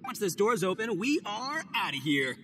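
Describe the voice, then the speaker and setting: A brash, boastful oni boss. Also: male voice, high-pitched, big pitch swings, normal speed, background noise. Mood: swaggering. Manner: loud, boastful, goofy, shouting. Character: boisterous brash young oni gang boss, male. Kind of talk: video game cutscene voice acting.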